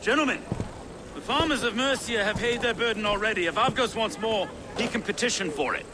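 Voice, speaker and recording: A man's voice: sits high, a little fast, noisy background, close to an everyday voice. A man playing an affable, dutiful medieval London reeve in a video game. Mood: firm, exasperated. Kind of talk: video game cutscene acting.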